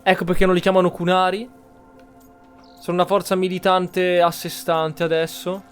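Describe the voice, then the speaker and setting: A man's voice: high voice, monotone, a little fast, faint music behind. A man from Italy, casually commenting on a roleplay video game as he streams. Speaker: gaming streamer.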